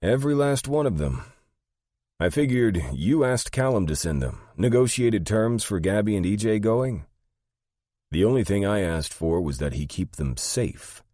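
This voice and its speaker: Man acting a puzzled underling, pitched well up, whining manner, audiobook dialogue, dry sound. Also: expressive, moderate pace, mellow, no room sound, lightly acted. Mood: puzzled, reporting. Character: Sandy, puzzled whiny underling reporting to the boss.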